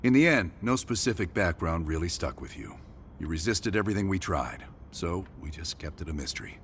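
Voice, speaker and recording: An actor playing a cold, intense middle-aged American intelligence operative in a video game, speaking in a grave, measured, clipped way. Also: male voice, deep voice, lively intonation, fairly bright tone, slight background noise. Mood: cold, explanatory. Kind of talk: cinematic video game acting. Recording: music behind the voice.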